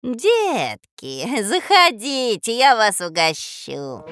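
A woman voicing an old witch in an audio storybook, outwardly friendly, with drawn-out, sugary coaxing. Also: a little high, big pitch swings, fairly bright tone, soft background music, exaggerated voice. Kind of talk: audio storybook character acting.

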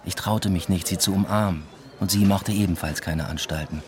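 Man playing low-voiced, restrained introspective student. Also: moderate pace, darkish tone, a little hiss or hum, natural voice. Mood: reflective, restrained. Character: weary, hesitant male doctoral student, introspective first-person narrator. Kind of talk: German radio drama narration and dialogue.

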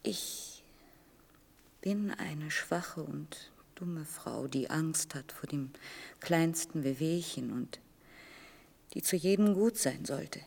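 An actress in a German radio play as a frail, motherly middle-aged woman, half-whispering haltingly in a breathy, intimate murmur. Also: sits low, darkish tone, heavily acted. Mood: murmured, confiding.

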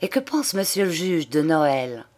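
Woman voicing an excitable, vain old man, theatrical. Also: sits low, measured pace. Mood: relieved, eager. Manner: exclamatory, emphatic, talkative. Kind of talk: audiobook character dialogue.

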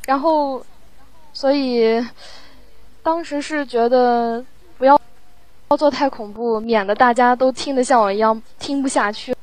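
A woman interviewed in a casual chat, talking in a halting, reflective way as she explains her work. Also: on the high side, darkish tone. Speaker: audio drama sound producer, interview guest.

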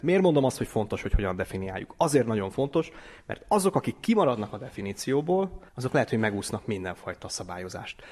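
Low-voiced man explaining in an interview. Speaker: interviewed company president and legal expert.

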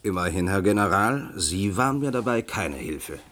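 An actor playing a shrewd state aide in a German science-fiction radio drama, with a dry, ironic manner. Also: male voice, low voice, lively intonation, normal speed, fairly bright tone.

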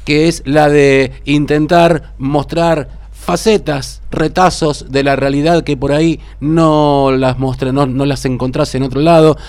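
A man's voice: fairly high pitch, fairly even intonation, unhurried, a little mellow, clear, resonant tone. A man in his fifties with an Argentine Rioplatense accent, co-hosting a community radio show in a reflective, rambling way with many fillers. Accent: Argentine Rioplatense Spanish. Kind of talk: casual radio sign-off.

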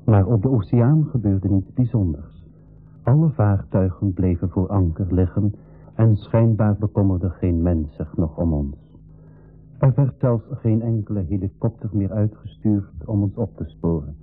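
A flemish adventurer in an old radio play, husky, thin recording with faint music. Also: male voice, on the low side, narrow, tinny audio. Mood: measured, suspenseful. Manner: measured, storytelling. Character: adventurer telling his travel story as narrator. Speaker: narrator. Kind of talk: scripted first-person radio play narration.

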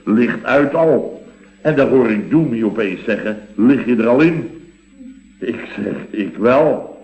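A man's voice: mid-range voice, expressive, slow pace. An actor playing a chatty, bemused company boss in a vintage Dutch radio comedy, hesitating and repeating himself as he rambles. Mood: bewildered storytelling. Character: male boss of a contracting firm, chatty, bemused houseguest. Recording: dull, boxy vintage radio recording, roomy.

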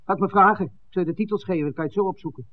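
An actor playing an earnest young documentary film-maker, tender and defiant, in a 1960s Dutch radio drama. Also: male voice, high-pitched, rapid-fire, natural voice. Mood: indignant. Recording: narrow-band 1969 radio recording, faint hiss.

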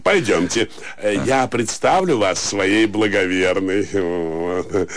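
An actor playing a genial, joking middle-aged gentleman host in a radio drama, effusive and playfully ornate. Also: low-pitched, slow pace, dry recording. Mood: jovial, effusive. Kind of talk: Russian radio drama acting.

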